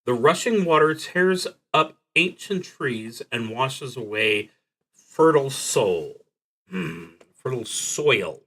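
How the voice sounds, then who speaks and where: A man's voice: medium pitch, lively intonation, slow, gravelly, a bit bright, slightly rough, low-quality recording. A man narrating his solo roleplaying game unscripted, thinking aloud in a hesitant, reflective way. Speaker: streamer playing solo tabletop roleplaying games. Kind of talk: unscripted play-through commentary, thinking aloud.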